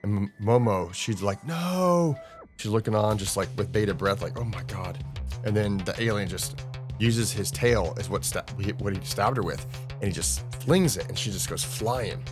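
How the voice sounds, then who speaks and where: A man doing casual, excited play-by-play narration of comic panels, informal and dramatic, as a read-along host. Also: normal speed. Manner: informal, dramatic, with interjected booms. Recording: music under the voice. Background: music.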